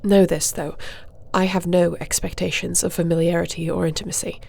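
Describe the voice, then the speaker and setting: A woman playing a formal, dutiful dragon-shifter princess in an audio roleplay, speaking in a measured, regal and diplomatic manner. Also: lowish voice, level tone, vocal fry, darkish tone. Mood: firm, formal. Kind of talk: scripted audio roleplay acting.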